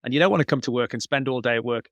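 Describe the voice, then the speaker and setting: A man speaks as an interview guest in a coaching role. His pitch is average for a man, and he explains things conversationally, step by step. The sound is dry, with very little room. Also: racing, fairly bright tone, no echo. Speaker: business coach, podcast guest.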